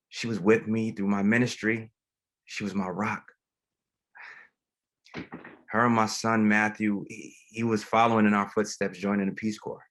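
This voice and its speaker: Male, low register, acting as a grieving, gentle pastor; reflective, plain-spoken drama read. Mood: grieving, subdued. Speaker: actor in a table read. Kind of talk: dramatic screenplay table read.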